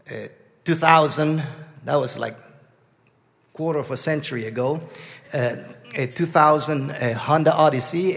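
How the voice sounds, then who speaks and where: A middle-aged man with a Guatemalan Spanish accent tells a long story from the pulpit. His voice sits a little high, and he halts and restarts often. The sound rings with the long reverb of a church hall. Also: creaky, a bit bright. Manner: halting, many restarts. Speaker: guest preacher. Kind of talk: storytelling sermon.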